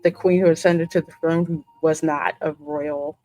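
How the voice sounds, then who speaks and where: Low-pitched woman narrating a game expressively. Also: steady tone, moderate pace, dark tone, poor audio. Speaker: gaming channel host. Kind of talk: reading game dialogue aloud with casual reactions. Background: game music.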